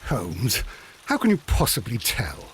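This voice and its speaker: Victorian gentleman sidekick, loyal, polite, inquiring, animated intonation, rain noise behind, video game. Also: mid-range voice, unhurried. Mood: curious. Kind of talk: scripted video game acting.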